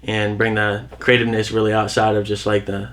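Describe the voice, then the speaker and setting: A man's voice: lowish voice, completely flat delivery, brisk, a bit bright. A man in his early twenties, a musician guest, talking in a laid-back, easygoing way and thinking aloud. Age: 20s. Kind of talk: casual chat.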